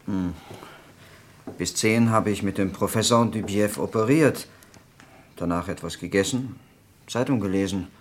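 An actor playing a polite hospital doctor in a German radio crime drama, accounting for himself in a careful, matter-of-fact and slightly hesitant way. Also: male voice, on the low side, measured pace, long pauses, slight background noise, natural voice. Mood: careful, explaining.

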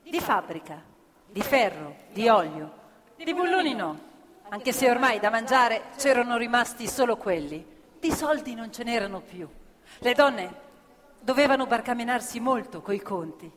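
A woman narrating scripted theatre as an actor, expressive and deliberate in her phrasing. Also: fairly high pitch, crowd noise. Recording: reverberant hall with live music. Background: faint live music.

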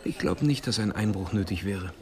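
Male actor as police investigator, lowish voice, matter-of-fact, conversational, radio crime drama, background crowd. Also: expressive, moderate pace, lightly acted. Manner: conversational, factual reporting.

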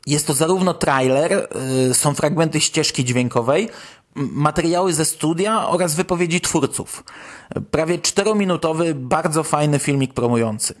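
A man's voice: on the high side, moderate pace. A man hosting a podcast, presenting news with informal personal commentary. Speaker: podcast host.

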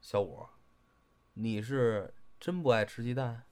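An actor playing a steady, fond young stage performer, a matter-of-fact older brother type with a northern Chinese accent. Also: male voice, mid-range voice, slow pace, smooth, close to an everyday voice. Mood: gentle, probing. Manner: matter-of-fact, gently scolding. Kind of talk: audio drama acting.

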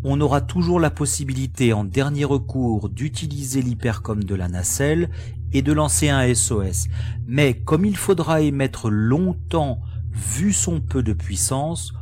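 A man playing a frank, resolute starship pilot in a science fiction audio drama, explaining things in a measured way. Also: mid-range voice, animated, darkish tone, lightly acted.

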